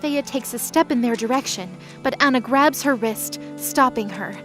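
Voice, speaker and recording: High-pitched woman narrating a fantasy drama. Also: normal speed, a bit bright, faint music behind. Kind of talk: scripted dramatic story narration.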